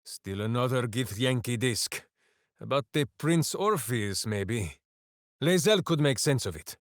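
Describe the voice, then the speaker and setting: An actor playing a big-hearted, simple-minded berserker warrior in a video game, grandiose and earnest. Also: male voice, mid-range voice, lively intonation, measured pace, no echo, studio quality. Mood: hearty, earnest. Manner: grandiose, speaks of himself in third person. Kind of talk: fantasy video game voice acting.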